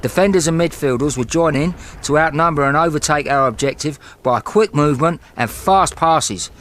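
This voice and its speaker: Man playing a cheeky, higher-voiced young English soldier. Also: moderate pace, fairly bright tone, a little hiss or hum, close to an everyday voice. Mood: rallying. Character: cheeky, football-mad young British soldier. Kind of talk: audio drama acting.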